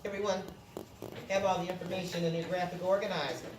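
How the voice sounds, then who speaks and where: A woman's voice: lowish voice, steady tone, quick pace. A woman teaching a classroom lesson, explaining step by step, repeating herself and checking that students understand. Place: classroom, indoors. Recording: roomy, noisy classroom, distant mic.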